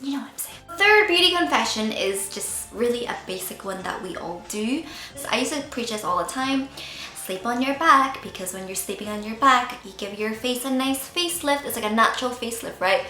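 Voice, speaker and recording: A woman's voice: medium pitch, sharp tone, roomy sound. Chatty Northern Irish woman vlogger. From Northern Ireland. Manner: chatty, candid, jokey, trips over words. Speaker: beauty vlogger. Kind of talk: casual chat to camera.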